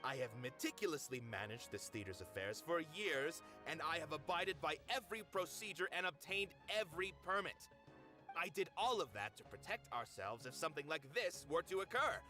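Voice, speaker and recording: An actor playing a dutiful middle-aged theater manager in a video game, speaking formally and precisely. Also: male voice, on the high side, sing-song, a little fast, pushed and bright, soft background music. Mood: indignant. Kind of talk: video game cutscene dialogue.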